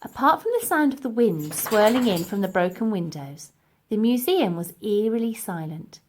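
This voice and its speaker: A woman's voice: mid-range voice, animated, moderate pace, darkish tone, a little hiss or hum. A woman narrating a children's storybook expressively as its reader.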